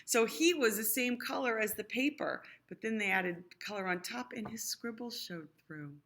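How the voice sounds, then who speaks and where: A woman's voice: a little high, moderate pace, fairly bright tone. A woman reading a picture book aloud to young children, expressively, with explanatory asides. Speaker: storybook reader.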